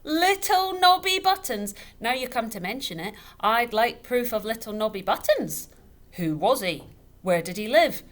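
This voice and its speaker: A woman voicing a stubborn, tipsy father in a children's story, loud, emphatic and scornful, close to shouting. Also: very high voice, sing-song, a little slow, pitched above the performer's own voice, exaggerated voice. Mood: argumentative, insistent. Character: tipsy, stubborn, jeering father arguing loudly. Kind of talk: children's audiobook character voice. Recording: noticeable background noise.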